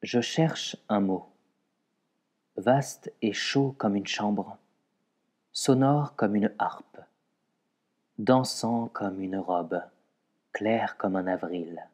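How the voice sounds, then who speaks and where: A man reciting poetry in a measured way, pausing between short phrases. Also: lowish voice, darkish tone. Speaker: poetry reader.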